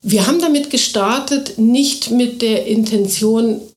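A woman who runs a kindergarten, answering radio interview questions eagerly and fluently, often filling pauses with short hesitation sounds. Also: fairly high pitch. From Thuringia, Germany. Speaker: kindergarten director. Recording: echoey room.

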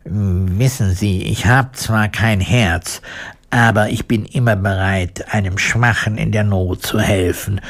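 A man's voice: lowish voice, fairly even intonation. A man voicing a kindly, humble iron woodcutter with an earnest, courteous manner for a storybook. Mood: modest. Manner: earnest, modest, deliberate.

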